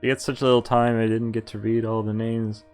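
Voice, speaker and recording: A man's voice: fairly low pitch, level tone, brisk, darkish tone, clean tone. A man giving casual live commentary as a game streamer, muttering wry, mildly exasperated asides. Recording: music playing behind the voice. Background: video game music.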